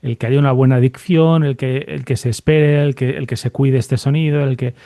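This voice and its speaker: A man's voice: mid-range voice, steady tone, moderate pace, mellow, no room sound, studio quality. A man with a Spain Spanish accent hosting a podcast interview, thinking aloud in a casual, reflective way and often checking for agreement. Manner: thinks aloud, seeks agreement with tag questions. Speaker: podcast host interviewing a guest.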